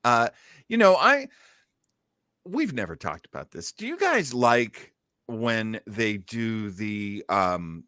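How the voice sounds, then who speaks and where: A man's voice: fairly low pitch, very animated, slow, sharp tone, no room sound. Male podcast co-host: casual, hesitant wording, swooping intonation, dry recording. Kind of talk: casual chat, commentary.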